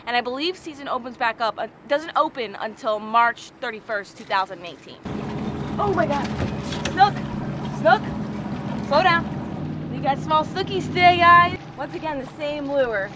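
An American woman in her thirties chatting casually and excitably as a fishing host, recorded outdoors on a small boat. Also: high voice, normal speed, background noise. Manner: excitable, exclamatory, informal. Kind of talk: casual vlog chat, explaining to viewers. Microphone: action camera at arm's length or a few feet away.

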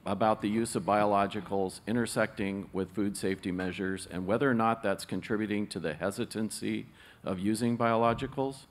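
A man's voice: fairly low pitch, fairly even intonation, fairly quick, a little hiss or hum. A man in the audience politely asking the panel a question.

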